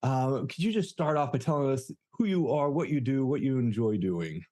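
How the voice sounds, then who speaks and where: A male podcast host with a dark, mellow tone, moving from a read introduction to friendly, encouraging questions and brief affirmations. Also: mid-range voice, animated, moderate pace.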